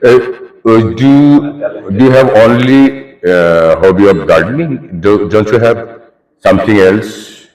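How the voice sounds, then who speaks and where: Bangladeshi man, journalist, deep voice, repeats points, echoey room. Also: expressive, slow pace, darkish tone. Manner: lecturing, repeats points and asks "isn't it?". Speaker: journalist interviewer. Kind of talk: interview.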